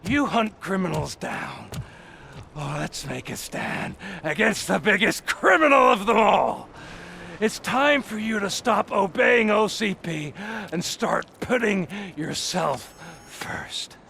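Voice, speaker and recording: An actor playing a scheming, manipulative male villain who sneers and erupts into shouts in a game cutscene. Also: sits high, animated, slow pace, gravelly, slight background noise, exaggerated voice. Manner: theatrical, sneering, swings into angry shouts. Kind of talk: video game cutscene acting.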